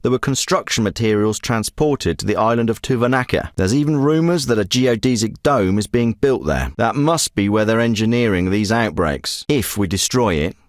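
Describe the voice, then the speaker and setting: Man doing a special forces handler, terse, businesslike, expressive pitch, dry studio sound. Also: no room sound, lightly acted. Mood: grave. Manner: terse, businesslike radio-style briefings. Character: clipped, steady male military handler, middle-aged. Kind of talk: video game voice acting. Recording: dry close studio recording.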